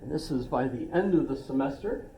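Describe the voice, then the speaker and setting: A man's voice: mid-range voice, lively intonation, brisk, mellow, a little hiss or hum. An older man teaching a philosophy class in a casual, rambling way, with asides and self-deprecating jokes. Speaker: philosophy instructor. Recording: echoey classroom recorded from a distance.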